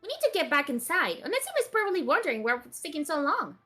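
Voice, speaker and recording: Woman putting on a high, bubbly, stubborn anime mage girl. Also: rapid-fire, soft background music, full character voice. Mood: playful, clingy. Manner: playful, pouty, excitable. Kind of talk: voicing a visual novel character live.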